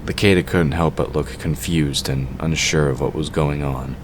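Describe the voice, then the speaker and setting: A man's voice: very deep voice, fairly even intonation, normal speed, noisy background. A man reading scripted narration for a fantasy audio drama.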